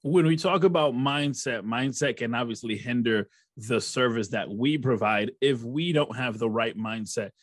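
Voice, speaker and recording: Measured man hosting a podcast. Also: mid-range voice, no room sound, clean studio sound. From Rochester, New York. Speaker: podcast host. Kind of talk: interview questions.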